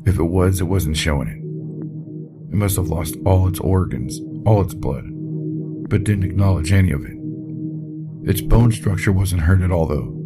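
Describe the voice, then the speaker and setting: An actor playing a gruff, foul-mouthed, shaken military captain in an audio drama interview log. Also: male voice, low voice, measured pace, pauses often. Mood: bitter, agitated. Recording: voice over loud background music.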